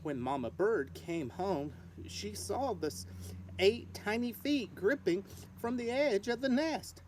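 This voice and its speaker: Female storybook reader: slow-paced, mid-pitched, expressive, dramatic read-aloud narration. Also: slight background noise, poor audio. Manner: expressive, dramatic delivery with sound words.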